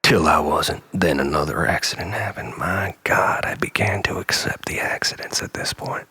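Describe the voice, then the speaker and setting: A man delivering a dramatic, confessional spoken-word monologue in a brooding, theatrical manner, often dropping toward breathy near-whispers, with a creaky voice. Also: sits low, moderate pace, pushed and bright. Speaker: storyteller, monologist.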